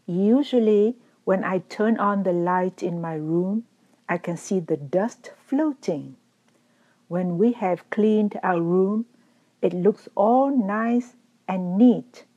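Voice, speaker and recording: A woman teacher giving a calm, measured dharma talk, her voice still recovering after being lost. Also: medium pitch, muffled recording. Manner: calm, measured, gently explanatory. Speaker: Buddhist teacher. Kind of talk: slow reflective dharma talk.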